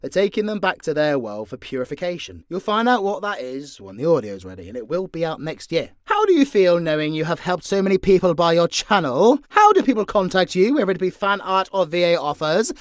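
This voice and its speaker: A man's voice: high-pitched, sing-song, fairly quick, few pauses, dry recording. British man chatting candidly, very dry sound. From Britain. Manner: sweary, candid, jokey. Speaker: voice actor and audio channel creator answering fan questions. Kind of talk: casual unscripted Q&A.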